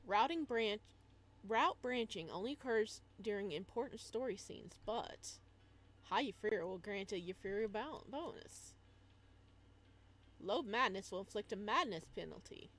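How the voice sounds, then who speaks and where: A woman's voice: mid-range voice, expressive, measured pace, a bit bright. A woman live-streaming a game in a casual style, reading on-screen text aloud and adding chatty asides.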